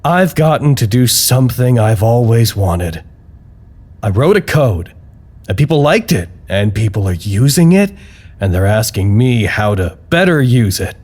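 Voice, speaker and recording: An actor playing an anxious, earnest office worker who records wry, reflective, halting confessional reports in an audio drama. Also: male voice, mid-range voice, sing-song, a little mellow, breathy edge. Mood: proud, uneasy. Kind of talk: audio drama monologue, intimate log narration.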